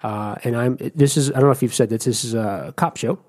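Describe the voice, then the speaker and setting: A man's voice: mid-range voice, brisk, darkish tone. A man co-hosting a casual podcast, playing in a tabletop game and teasing his friends. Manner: joking, teasing, eager. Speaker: co-host, player.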